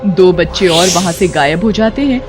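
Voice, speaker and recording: A woman's voice: lowish voice, animated, moderate pace, over music. A woman delivering scripted narration for a horror story.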